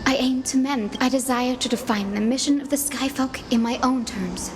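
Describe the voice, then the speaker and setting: A woman playing a gentle, resolute young healer heroine in a fantasy video game, speaking politely and earnestly. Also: high-pitched, normal speed, noisy background, natural voice. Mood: earnest determination. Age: young adult.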